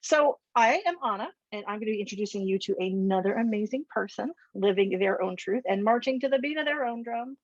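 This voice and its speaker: A woman's voice: mid-range voice, a little fast, hardly any pauses, no echo, muffled recording. A woman hosting an interview show who introduces her guest in an effusive, rambling way. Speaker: show host.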